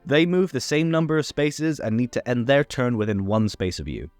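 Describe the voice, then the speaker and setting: A man's voice: mid-range voice, lively intonation, moderate pace, a little mellow, no room sound, soft background music. A man hosting a tabletop game tutorial, reading a scripted rules explainer.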